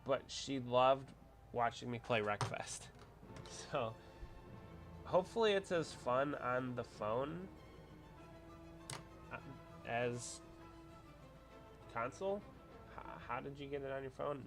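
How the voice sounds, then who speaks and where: A man's voice: medium pitch, slow, pauses often. Man, congested, stuffy cold voice, casual livestream host, rambling chat, faint music behind. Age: adult with a wife. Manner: chatty, rambling, reads out viewer comments.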